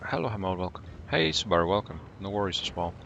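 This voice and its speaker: A man from Poland with a Polish accent, whose first language is Polish, calmly commenting on his game for a live stream and greeting viewers in chat. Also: low-pitched, creaky, dull audio, a little hiss or hum. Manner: calm, unhurried, greets chat viewers between plays.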